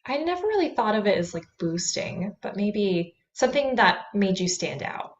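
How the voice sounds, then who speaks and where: A woman's voice: mid-range voice, big pitch swings, moderate pace. An upbeat young woman in her twenties moderates a panel and reads audience questions aloud. Her voice comes over a video call through dull, lo-fi audio.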